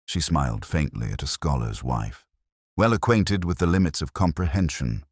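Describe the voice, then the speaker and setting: A man plays a melancholy police inspector telling his own mystery story. The voice is extremely low and husky, with lively shifts of pitch. The delivery is measured, literary and brooding, as in a radio detective drama. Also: dry recording, clean studio sound, heavily acted. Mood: pensive. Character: weary, melancholy male English police inspector narrating his case. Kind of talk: scripted first-person mystery narration.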